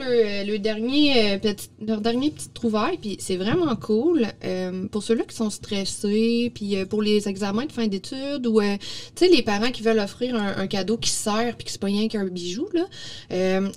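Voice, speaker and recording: A woman's voice: medium pitch, moderate pace, a little mellow. Quebec French woman, informal presenter. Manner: informal, explanatory. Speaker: presenter of young people's product projects. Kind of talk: casual podcast chat. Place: small indoor podcast studio. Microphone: studio microphone on boom arm.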